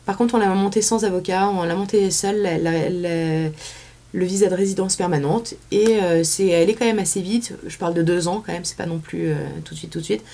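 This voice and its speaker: A woman's voice: fairly low pitch, fairly even intonation, fairly quick, dark tone. A woman in her thirties from France with a French accent, chatting casually as an interview guest, full of fillers and restarts. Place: small studio set, indoors.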